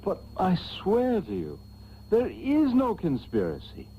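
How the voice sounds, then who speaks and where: A man's voice: sits high, huge pitch swings, unhurried, mellow, full character voice. A man playing a desperate, exasperated prisoner pleading under interrogation in a satirical radio soap. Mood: indignant, desperate. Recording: tinny, hissy old radio tape.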